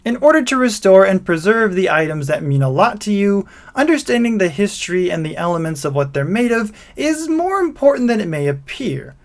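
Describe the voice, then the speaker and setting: A man's voice: high-pitched, sing-song, fairly quick, hardly any pauses. A man hosting a video series, reading scripted narration with a theatrical, emphatic delivery.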